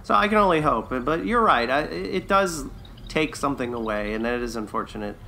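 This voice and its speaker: A man's voice: medium pitch, expressive, brisk, vocal fry, sharp tone, slight background noise. A man co-hosting a casual review podcast, speaking in a hesitant, agreeable and conciliatory manner. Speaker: podcast co-host.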